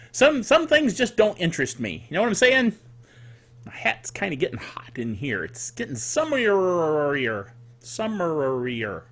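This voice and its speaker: An older man hosting a casual solo tech show, rambling playfully like a self-styled curmudgeon, stretching words for emphasis and tossing in spoken sound effects. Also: high-pitched. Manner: animated, emphatic delivery with drawn-out words. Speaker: podcast host. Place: small home studio.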